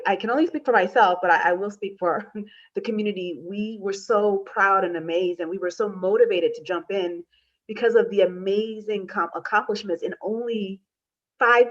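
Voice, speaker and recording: American female panelist, thirties, lowish voice, explanatory delivery, slightly muffled online-call sound. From United States. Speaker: panelist, community organization founder. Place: room indoors, video call. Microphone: computer mic on a video call. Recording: slightly dull video-call audio.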